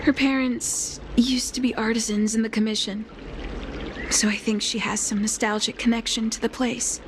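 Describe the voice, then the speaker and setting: An actress voicing a gentle, calmly wise blind healer in a video game, speaking softly in a measured, soothing, earnest way. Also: female voice, a little high, noisy background, lightly acted. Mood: gentle concern. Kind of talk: scripted video game voice acting. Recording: background music under the voice.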